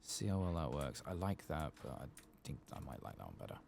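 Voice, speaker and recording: A soft-spoken man giving unhurried ASMR gameplay commentary, murmuring to himself and sometimes dropping toward a whisper, close to the microphone. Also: rumbling low, very animated, creaky, slight background noise. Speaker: gaming streamer.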